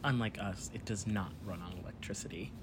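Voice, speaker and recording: A man's voice: fairly low pitch, brisk, lightly acted. A man playing a quirky, insistent young oddball in an audio drama. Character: quirky young man obsessed with an electricity theory. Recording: recording with faint background noise.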